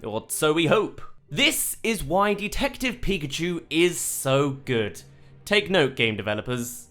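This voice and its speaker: Animated video essay narrator over music. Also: medium pitch, a little slow. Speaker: video reviewer and channel host. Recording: music behind the voice. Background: background music.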